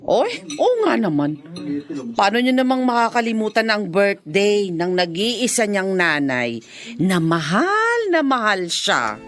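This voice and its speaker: An actress playing a chatty, inquisitive and reassuring friend in a radio drama. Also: female voice, medium pitch, big pitch swings, unhurried. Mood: teasing.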